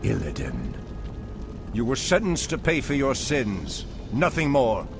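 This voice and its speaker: A man's voice: mid-range voice, wildly swooping pitch, unhurried, vocal fry, music in the background, exaggerated voice. An actor playing a stern, unyielding male elf druid in a video game cinematic, speaking in a declaiming way.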